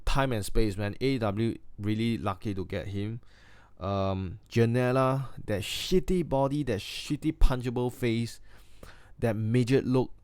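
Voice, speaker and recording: A man with a Singaporean accent talks in a loose, opinionated, sometimes crude way, stopping and restarting as he goes. His voice sits a little low and sounds smooth and clear. It is recorded close and dry, with almost no room sound. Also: a little mellow, no echo. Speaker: wrestling review vlogger. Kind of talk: casual spoken review.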